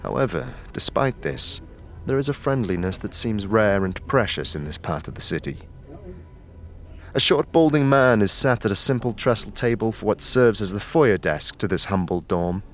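A low-pitched man narrates a fantasy audio drama. His scripted delivery is measured and descriptive. Music plays underneath his voice. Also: very animated.

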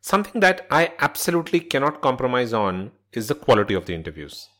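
A man's voice: mid-range voice, big pitch swings, fast talker, a bit bright. A man presenting a scripted solo podcast as its host, speaking in an instructional way directly to listeners.

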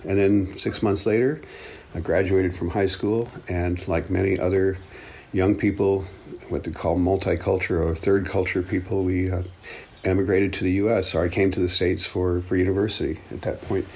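Deep, gravelly older man telling his story. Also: a little mellow. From Belgian Congo. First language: English and Swahili. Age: 70s. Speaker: interview guest, African studies professor. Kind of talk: reflective personal storytelling.

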